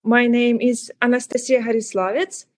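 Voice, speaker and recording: A woman's voice: a little high, flat delivery, moderate pace. A young woman from Ukraine with a Ukrainian accent, speaking careful, earnest English as a guest on an interview podcast. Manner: careful, earnest non-native English with some restarts. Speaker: guest, student.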